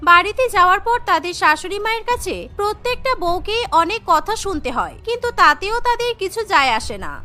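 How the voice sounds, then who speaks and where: A woman's voice: extremely high pitch, lively intonation, brisk, hardly any pauses, sharp tone, faint music behind. A woman giving scripted narration for a cartoon story.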